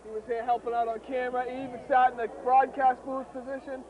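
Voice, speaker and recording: A man with a very high, strained voice calls the game courtside, hoarse from long use and pushing emphatic energy into every line. The sound is thin and phone-like. A wash of noise sits behind him. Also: fast. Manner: excitable, emphatic. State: hoarse, losing his voice. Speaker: play-by-play commentator. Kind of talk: amateur league courtside commentary. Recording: tinny, noisy outdoor recording.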